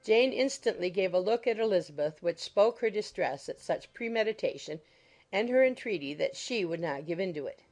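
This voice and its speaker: A woman narrating a classic novel in a measured, literary storytelling manner. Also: lowish voice, lively intonation, darkish tone. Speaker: audiobook narrator. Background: background music.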